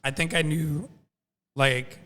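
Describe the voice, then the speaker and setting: A man's voice: on the high side, slow, long reverb tail. A man from Michoacán, Mexico, raised in Washington State, talking easygoing as a podcast guest and sometimes dropping into Spanish. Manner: relaxed, easygoing, mixes in Spanish. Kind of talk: casual interview conversation.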